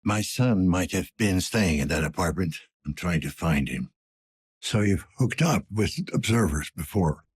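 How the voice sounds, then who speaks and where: Very husky, low, weary detective. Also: male voice, expressive, a little slow, exaggerated voice. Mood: terse, businesslike. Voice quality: gravelly, husky, breathy rasp. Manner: world-weary, terse, dry. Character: weary, gruff older male cyberpunk police detective. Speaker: voice actor in a video game. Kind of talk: scripted game character dialogue.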